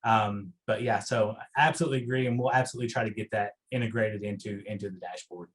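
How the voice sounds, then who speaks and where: A man with an Eastern Kentucky accent speaking informally as a guest presenter in a meeting, haltingly, often repeating words. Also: fairly low pitch, steady tone.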